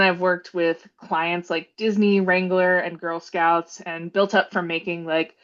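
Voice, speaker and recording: A woman illustrator and graphic designer introducing herself as a webinar guest, relaxed and measured. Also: lowish voice, highs cut off.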